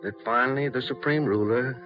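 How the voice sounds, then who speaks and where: An actor playing a pious, solemn reverend giving a funeral oration with oratorical delivery, in a 1940s radio drama with music behind him. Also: male voice, medium pitch, brisk, lightly acted. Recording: dull 1940s radio recording.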